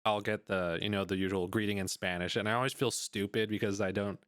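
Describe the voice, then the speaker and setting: A young man speaking on a podcast in a halting way, with many restarts and fillers. The recording is dry and close. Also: fairly low pitch, fairly even intonation, a bit bright, smooth, dry recording. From United States. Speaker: podcast co-host. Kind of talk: casual chat.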